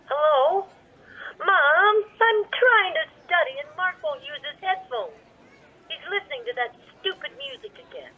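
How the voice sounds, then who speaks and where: A woman's voice: very high-pitched, animated, unhurried. A woman playing a petulant, exasperated teenage daughter complaining over the telephone in a radio play. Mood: exasperated, whiny. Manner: whiny, complaining. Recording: tinny phone line.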